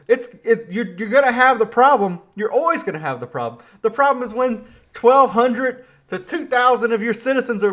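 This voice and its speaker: A man from Louisiana giving casual political commentary, animated and quick to laugh, with frequent restarts. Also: high voice.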